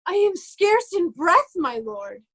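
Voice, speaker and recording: Woman playing a very high-pitched, haughty cowardly steward. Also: sing-song, takes it slowly, a bit bright, full character voice. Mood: breathless, haughty. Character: Oswald, haughty cowardly male steward, easily panicked. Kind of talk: reader's theatre Shakespeare acting.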